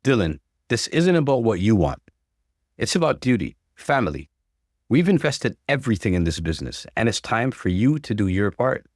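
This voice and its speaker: A man voicing a stern, insistent father in a story, speaking with forceful authority. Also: lowish voice, big pitch swings, moderate pace, no echo, studio quality. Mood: angry, insistent. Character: stern, insistent father pressuring his grown son.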